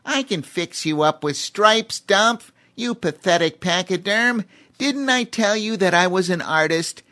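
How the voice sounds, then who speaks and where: A man voicing a boastful young male chimpanzee with a squeaky, brash manner in a storybook reading. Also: lively intonation, unhurried, sharp tone, full character voice.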